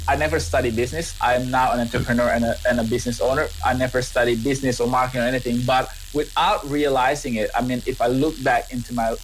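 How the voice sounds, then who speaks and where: A man's voice: mid-range voice, fairly even intonation, fast, few pauses, slight background noise, rough recording. A man in his thirties with an Indonesian accent, a scientist answering interview questions earnestly, often repeating and restarting words. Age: 30s. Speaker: scientist and business owner.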